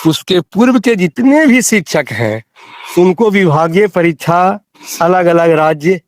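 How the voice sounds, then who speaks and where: A man's voice: high-pitched, lively intonation, measured pace, dry recording, clean studio sound. A man explaining rules in a phone discussion, insistent and repeating phrases for emphasis. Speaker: interviewee explaining teacher rules. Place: recorded audio call.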